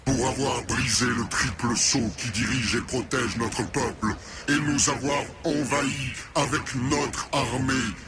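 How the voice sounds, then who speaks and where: A man's voice: medium pitch, steady tone, unhurried, natural voice. A man playing a stern ruler who pronounces judgment in a declamatory, ceremonial way in a dubbed game cutscene. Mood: solemn condemnation. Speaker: video game character, judge. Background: loud music.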